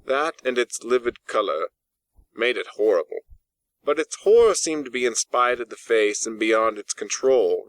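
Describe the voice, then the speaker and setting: Low-voiced man narrating a classic story, dry sound. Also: a bit bright, no echo. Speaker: audiobook narrator. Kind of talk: scripted storybook narration of a classic ghost tale.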